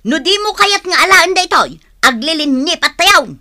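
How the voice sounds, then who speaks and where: An actress playing a brash, argumentative married mango seller in an Ilocano radio comedy-drama. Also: female voice, extremely high pitch, expressive, normal speed, pushed and bright, exaggerated voice. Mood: indignant. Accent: Filipino, Ilocano.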